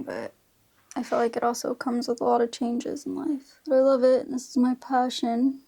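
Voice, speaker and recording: A woman's voice: on the high side, creaky, mellow. A woman in her mid twenties chatting casually through a makeup tutorial in informal, slangy speech. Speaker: beauty vlogger.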